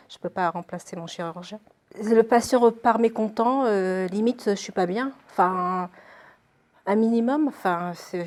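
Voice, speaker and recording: A woman's voice: medium pitch, a little mellow. A woman medical secretary talking casually and plainly in an interview, in colloquial everyday speech. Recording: faint background noise, room ambience.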